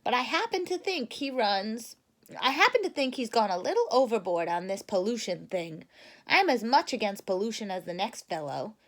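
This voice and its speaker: A woman's voice: on the high side, very animated, moderate pace. A woman voicing a folksy, dismissive father bear from a storybook, in a hearty, blustering and emphatic manner.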